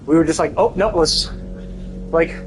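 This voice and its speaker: A man's voice: a little high, normal speed, music in the background, rough recording. A man livestreaming casual game commentary, ranting in an exasperated, emphatic way and swearing freely. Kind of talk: casual gameplay commentary rant.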